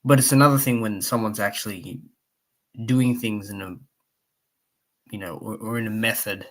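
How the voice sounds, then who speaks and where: Male live streamer, croaky, low-pitched, loose conversational delivery full of fillers. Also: steady tone, normal speed. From Australia. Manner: rambling, many fillers, reads chat aloud. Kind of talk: casual live stream commentary.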